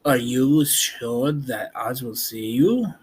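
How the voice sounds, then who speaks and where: A thoughtful storybook farmer, read by a man, in a plain husky voice. He speaks slowly and with a sense of awe. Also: mid-range voice. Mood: doubtful, awed.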